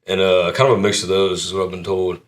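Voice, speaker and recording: Laid-back, deep-voiced man. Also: level tone, fast. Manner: laid-back, modest, lots of "you know" and "kinda". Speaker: country singer, interview guest. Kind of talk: relaxed interview answers.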